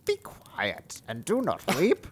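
A man voicing a sly, bargaining frog in a read-aloud fairy tale, speaking slowly and low with croaks and ribbits. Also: huge pitch swings, exaggerated voice.

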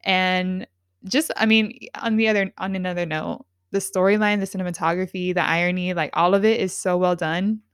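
A woman's voice: lowish voice, steady tone, moderate pace, clean tone, no room sound, clean studio sound. A woman hosting a podcast, talking in an effusive, enthusiastic and rambling way. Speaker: podcast host. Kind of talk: casual podcast interview.

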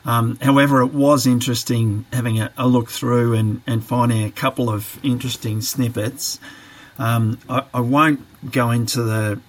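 A man answering interview questions on a podcast, reflective and careful, often pausing with fillers as he works through his ideas. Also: mid-range voice, steady tone. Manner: reflective, hesitant, many fillers and restarts. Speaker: podcast guest, training manager.

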